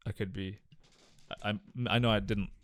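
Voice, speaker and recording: A man's voice: lowish voice, level tone, moderate pace. A man in his twenties co-hosting a casual entertainment news chat in a laid-back, offhand way. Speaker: co-host of a gaming and film news show.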